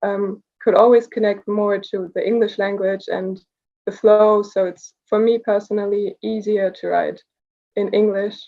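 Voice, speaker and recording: A woman's voice: medium pitch, steady tone, a little slow, gravelly, lo-fi sound. A young woman from Germany with a slight German accent, talking as a writer in an interview, thoughtful and hesitant with many fillers. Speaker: award-winning writer, guest. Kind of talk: casual interview over video call.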